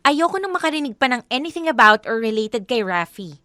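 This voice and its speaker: A woman's voice: on the high side, very animated, brisk, bright, forceful tone, no echo, studio quality. A woman playing a firm, loyal young girlfriend with a Filipino accent in a radio drama. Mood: exasperated, firm.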